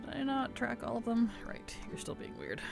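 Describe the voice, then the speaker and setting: Streamer, casual gameplay chat, cough drop in mouth, slightly rough throat, faint game music behind. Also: medium pitch, huge pitch swings, normal speed. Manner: chatty, answers chat while playing.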